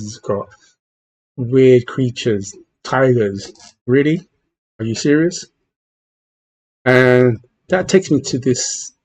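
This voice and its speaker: Husky Cantonese-born man with English accent. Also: mid-range voice, a little mellow, no echo. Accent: English, with Cantonese traces. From China. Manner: talks in bursts, many restarts and repeated words. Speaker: gaming channel host. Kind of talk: casual opinion commentary.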